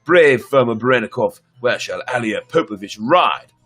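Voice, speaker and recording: A man's voice: mid-range voice, animated, moderate pace, fairly bright tone, slightly rough, soft background music. A man voicing an earnest, deferential heroic knight in a folk tale, speaking in formal, respectful address.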